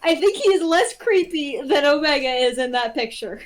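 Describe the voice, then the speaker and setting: A woman's voice: sits high, lively intonation, normal speed. A woman chatting casually as a guest on a livestream, blunt and teasing, with some swearing. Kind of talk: casual chat. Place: online voice call.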